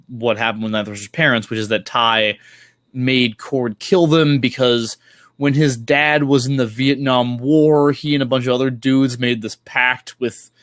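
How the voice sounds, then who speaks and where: A man's voice: mid-range voice, fairly bright tone, no room sound. Casual, profane man in a dry, close recording. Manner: informal, rambling, profane. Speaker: podcast host or guest discussing comics. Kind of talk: casual chat, enthusiastic recapping.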